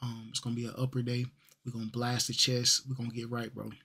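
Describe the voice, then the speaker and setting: Chill guy in his 30s, rambling vlog into a desk mic, lots of ums, mid pitch voice. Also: little pitch movement, fast, vocal fry, sharp tone, slightly rough. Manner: laid-back, rambling, heavy on fillers and slang. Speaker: vlogger. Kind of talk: casual fitness vlog. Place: small bedroom with gaming setup. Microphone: desk microphone.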